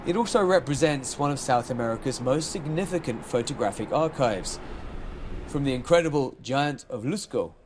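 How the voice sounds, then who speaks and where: A male news reporter reads his script in a measured, even voice of middle pitch. Faint noise sits under the recording.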